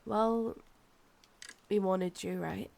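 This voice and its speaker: A woman's voice: medium pitch, steady tone, creaky, darkish tone, a little hiss or hum. A woman with a Scottish accent playing a possessive, proud, seductive ancient vampire in an intimate ASMR roleplay, teasing and condescending. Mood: smug, cold. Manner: slow, teasing, condescending, calls listener darling.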